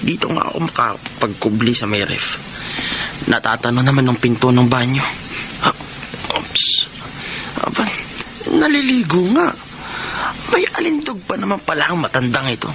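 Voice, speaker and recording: An actor playing a sly, lecherous adult son in a Tagalog radio melodrama, with an insinuating manner. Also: male voice, mid-range voice, expressive, moderate pace, pauses often, noisy background, close to an everyday voice. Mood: sly, curious.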